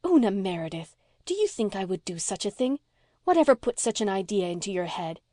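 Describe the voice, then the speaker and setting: A woman voicing a gentle, frank and affectionate woman in a storybook, speaking softly and tenderly as if to a child. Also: mid-range voice, big pitch swings, fairly quick, dry recording, studio quality, close to an everyday voice. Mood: tender dismay. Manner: soft, tender, sincere. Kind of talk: audiobook character voice.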